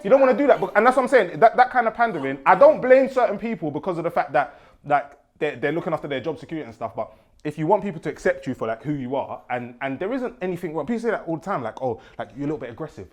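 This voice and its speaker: Very fast, high-voiced young man. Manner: rapid, animated, interjects and laughs while arguing. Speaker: panel co-host. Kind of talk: casual panel discussion.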